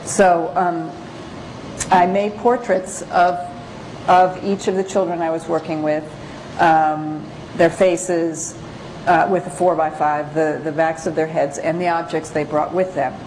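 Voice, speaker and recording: Woman, low voice, presenting as a photographer-lecturer; hesitant, pause-filled, informal delivery over noticeable background noise. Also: level tone, darkish tone, low-quality recording. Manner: halting, hesitant, pausing often. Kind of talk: informal slide lecture with read-out excerpts.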